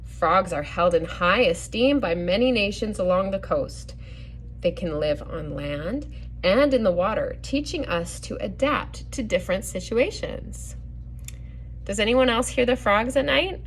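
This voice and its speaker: Woman, mid-pitched voice, children's story time host, read-aloud picture book delivery, gently instructive, addresses listeners with questions. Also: animated, measured pace, a little hiss or hum, low-quality recording.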